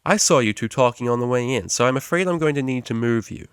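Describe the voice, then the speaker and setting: A man's voice: medium pitch, expressive, fast, clear, resonant tone, dry recording, studio quality, voice pushed up, close to an everyday voice. A man voicing a cheery, boisterous male pony who teaches psychology, in a storybook reading. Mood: playfully chiding. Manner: boisterous, jovial, encouraging.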